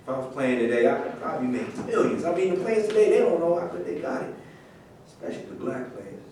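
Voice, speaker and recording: A man's voice: fairly high pitch, sing-song, fast talker, dark tone. A man acting an aging, resigned former athlete, talking reflectively in a conversational staged-reading monologue. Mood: nostalgic, resigned. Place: live staged reading in a roomy space. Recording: very echoey room, distant mic, faint noise.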